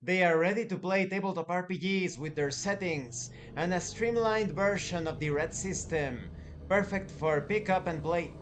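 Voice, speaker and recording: Higher-pitched man presenting a game review. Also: moderate pace, noisy background. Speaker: tabletop game reviewer and channel host. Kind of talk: scripted-sounding review presentation.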